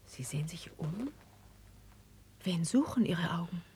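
A woman playing a gentle, dignified young queen in 1950s classical radio drama, with measured, formal stage diction. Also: on the low side, animated, slight background noise. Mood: gracious, guarded. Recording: dry 1959 radio drama recording.

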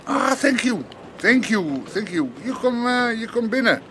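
An actor playing an older Amsterdam underworld boss who speaks broken English and gives orders with an Amsterdam accent. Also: male voice, extremely high pitch, lively intonation, measured pace, bright, forceful tone, slight background noise, full character voice. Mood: hosting, bossy.

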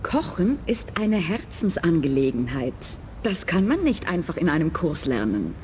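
An actress playing a tough young community nurse in a radio play, blunt and matter-of-fact. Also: female voice, low voice, sing-song, moderate pace, darkish tone. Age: young adult. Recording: faint background noise.

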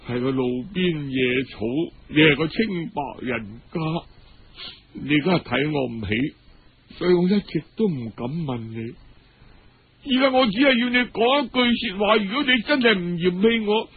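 A man's voice: high-pitched, moderate pace, husky. A man voicing a devoted, self-doubting young courtesan with a Cantonese accent, pleading tenderly in a told story. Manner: tender, imploring, emotional. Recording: dull lo-fi radio-style recording.

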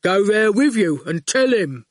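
A woman doing a slow, blunt giant goon. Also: mid-range voice, very animated, dry recording, clean studio sound. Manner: slow, blunt, clipped phrases. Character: huge, dim, grinning male goon. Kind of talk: audiobook character voice.

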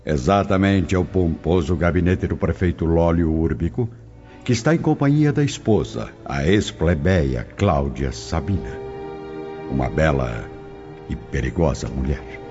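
A man's voice: rumbling low, expressive, a little slow, mellow. A man narrating a scripted radio drama set in ancient Rome in a solemn storyteller's manner. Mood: solemn storytelling. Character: solemn male narrator of an ancient Roman tale. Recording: dull lo-fi audio under music. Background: prominent music.

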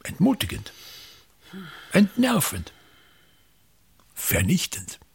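A man's voice: sits high, wildly swooping pitch, slow pace, vocal fry, husky, heavily acted. An actor playing a pompous, contemptuous old male theater director in a radio drama, with an Austrian accent and a declamatory, scornful way of talking.

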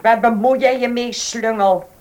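An actress in a Dutch radio play playing a haughty, sharp-tongued older lady of the manor. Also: a little high, steady tone, a little slow, close to an everyday voice. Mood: indignant, snappish. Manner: bossy, snappish.

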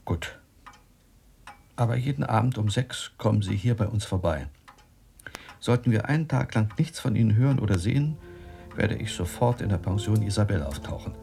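Skeptical doctor, radio drama, animated intonation, rough creaky voice, brisk, dismissive. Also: male voice, fairly low pitch, soft background music. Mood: firm, businesslike. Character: skeptical, impatient male doctor investigating a mystery. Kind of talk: German radio drama acting.

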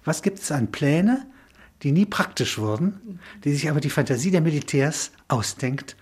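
A man with a husky voice speaks as an interview guest in a spontaneous intellectual discussion, in halting, emphatic phrases with little tag questions. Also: fairly high pitch, animated, darkish tone. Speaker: interview guest explaining a film concept.

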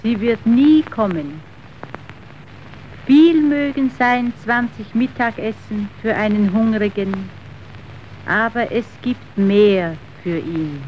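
A woman's voice: fairly high pitch, slow. An actress playing an earnest, idealistic young woman in a radio drama, with a solemn delivery. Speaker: actor. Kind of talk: German radio drama acting. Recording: dull, noisy old radio recording.

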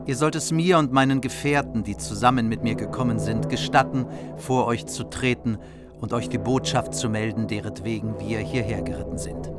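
A man's voice: medium pitch, normal speed, lightly acted. A man playing an earnest, courteous margrave pleading as an envoy in a German radio play. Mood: courteous, formal. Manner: formal, courteous, persuasive. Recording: music behind the voice. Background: music.

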